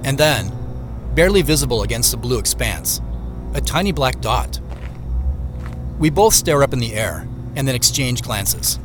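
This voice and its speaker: A man's voice: mid-range voice, expressive, normal speed, pauses often, over music. A man reading scripted first-person narration and credits for an audio drama.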